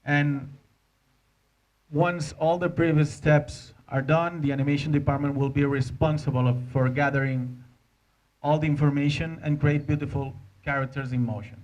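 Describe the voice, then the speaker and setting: A man in his thirties with a Spanish accent gives an informal conference talk as an animator, speaking halting non-native English into a handheld microphone. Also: a little high, flat delivery, a little mellow, muffled recording. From Spain. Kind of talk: informal presentation to an audience. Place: indoor hotel conference room.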